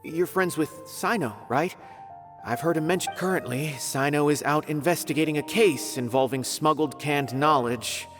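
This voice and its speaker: A man's voice: a little high, moderate pace, music in the background, natural voice. Man playing a polite, earnest young officer. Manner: polite, explanatory, slightly hesitant. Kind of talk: video game voice acting.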